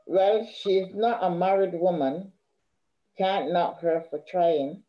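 A woman's voice: fairly low pitch, slow. Woman reading as stern Caribbean older sister, muffled. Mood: stern, dry. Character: bookish, stern, matter-of-fact older sister in Barbados. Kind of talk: rehearsed play reading. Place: indoor room at home, on video call. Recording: dull video call audio.

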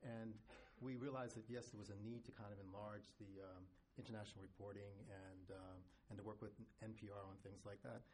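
A man on a conference panel, reflective and halting, with many restarts. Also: fairly low pitch, level tone, darkish tone, slight background noise, rough recording. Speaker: foundation representative on panel. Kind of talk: panel discussion.

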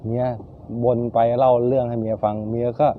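A man telling a bawdy comic short story in casual, colloquial, halting phrases. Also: medium pitch, flat delivery, slight background noise. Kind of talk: casual humorous storytelling chat. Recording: muffled, dull lo-fi recording.

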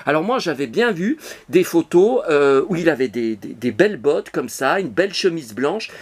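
A man talks straight to his phone camera in a cluttered apartment, rambling from one subject to the next. His voice sits a little high, and he keeps pausing and restarting his sentences as he goes. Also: big pitch swings, slightly rough. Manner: chatty, digressive, many hesitations and restarts. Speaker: collector vlogger. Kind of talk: rambling casual monologue to camera.

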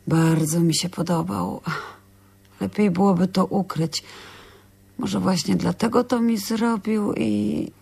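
An actress playing a wistful, philosophizing woman in a radio drama, dreamy and deliberate. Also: on the low side, gravelly, warm tone, slightly rough, full character voice. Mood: subdued.

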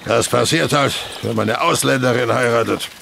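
A man's voice: mid-range voice, moderate pace, gravelly, sharp tone. An actor playing a blunt, gossipy country coachman in a German audio drama, talking in a brash, colloquial, matter-of-fact way. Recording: faint background noise.

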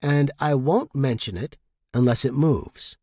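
Man playing resolute spaceman, husky, blunt, measured, guarded, dry sound, sci-fi story. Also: mid-range voice, dark tone, no echo. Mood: calm, calculating. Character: guarded, resolute male space outpost worker, bluntly bargaining.

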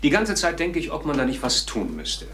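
An actor playing a keen, worried, insistent police detective in a radio crime play. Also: male voice, fairly high pitch, wildly swooping pitch, brisk. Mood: urgent, worried. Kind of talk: German radio crime drama acting. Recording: distant echoey room, loud noise.

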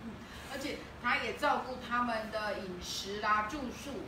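A woman's voice: a little high, moderate pace. A middle-aged woman interpreting a talk, relaying each phrase steadily with small filler sounds. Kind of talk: consecutive interpretation. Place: temple hall, indoors. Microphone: room camera at a distance. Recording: very echoey hall from far off, with noise.

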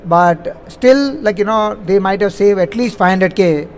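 A man with a notably high voice speaks from a conference stage, fielding questions. He is wordy and leans on filler phrases as he explains. There is a faint layer of live-event noise behind him. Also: measured pace. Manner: wordy, repeats "like, you know" as a verbal habit. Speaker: conference speaker, software implementation consultant. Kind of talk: answering audience questions at a conference. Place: on stage in a large conference hall.

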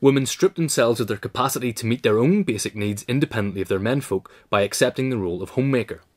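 Guy reading an essay out, quick pace, mid-pitched voice. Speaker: narrator, reader. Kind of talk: scripted narration, essay reading.

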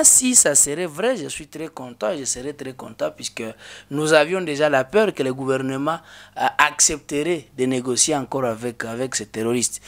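A man in his thirties with a Congolese French accent, an interview guest in a small studio, speaking earnestly at a desk microphone with many restarts. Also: on the high side. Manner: earnest, with frequent restarts and hesitations. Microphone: desk microphone on a boom arm.

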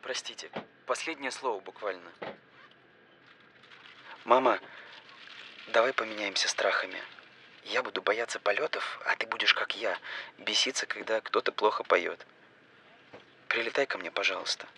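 An anxious grown son leaves intimate voice messages for his mother in an audio drama. His voice is very bright and tense, insistent and pleading, over faint background ambience. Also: lowish voice, moderate pace, pauses often, a little hiss or hum. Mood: pleading, hushed. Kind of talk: scripted audio film acting, voice-message monologue.

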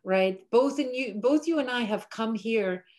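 A middle-aged woman from Bulgaria, a professor speaking as an interview guest over a video call, measured and thoughtful with frequent fillers. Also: a little high, expressive, darkish tone. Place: home room with window blinds.

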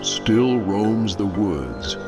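An actor playing a grave, mysterious storyteller, narrating an audio drama. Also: male voice, fairly low pitch, sing-song, extremely slow, full character voice. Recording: music bed behind the voice.

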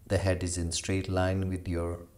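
A man leading a guided meditation as a yoga instructor, giving slow, measured instructions with long pauses. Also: deep voice, monotone, a little mellow.

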